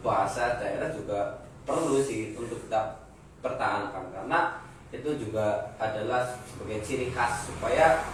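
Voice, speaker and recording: A young man speaks Indonesian with a Banyumasan Javanese lilt, in an earnest, measured way. He sounds set back from the mic in a very echoey room. Steady background noise runs underneath. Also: mid-range voice, far from the mic. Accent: Banyumasan Javanese (ngapak). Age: young adult. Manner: earnest, measured, stating firm views. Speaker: discussion participant. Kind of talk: casual group discussion.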